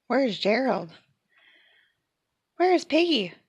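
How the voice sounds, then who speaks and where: A woman giving an expressive read-aloud of a children's picture book, acting out the characters. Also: high-pitched, takes it slowly, husky, no room sound, highs cut off.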